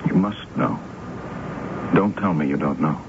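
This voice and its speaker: An actor playing an earnest, lovelorn middle-aged husband in a 1970s radio drama. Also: male voice, extremely low pitch, unhurried. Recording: muffled hissy old radio broadcast.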